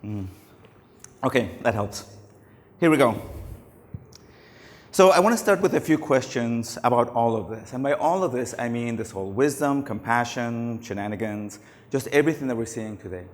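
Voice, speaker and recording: A man's voice: mid-range voice, animated, normal speed. A middle-aged man losing his voice, giving a casual, anecdotal conference talk on stage with many fillers. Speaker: conference speaker. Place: large conference hall. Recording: long hall reverb.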